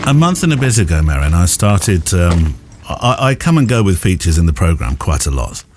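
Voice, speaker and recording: A man's voice: sits low, very animated, a little fast, loud music behind the voice. A man hosting a podcast in a casual, rambling, enthusiastic and self-deprecating way. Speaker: podcast host. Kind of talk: casual chatty podcast presenting.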